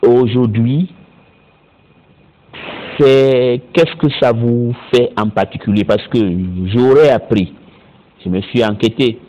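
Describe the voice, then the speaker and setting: A man's voice: medium pitch, slow, dark tone. A man hosting a studio panel interview, questioning guests and repeating their answers back to them. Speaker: TV talk show host. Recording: muffled lo-fi broadcast audio.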